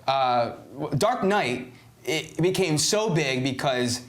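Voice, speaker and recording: A man's voice: fairly high pitch, lively intonation, slow pace. A man co-hosting a podcast, arguing emphatically, often stumbling and restarting, and swearing freely. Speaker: co-host. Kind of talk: casual debate on a podcast.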